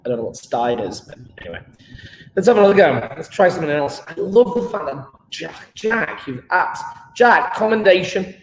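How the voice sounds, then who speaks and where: A man's voice: a little high, very animated, normal speed, reverberant, slight background noise, low-quality recording. A British man teaching a live online chemistry lesson, playful and enthusiastic, joking with his pupils.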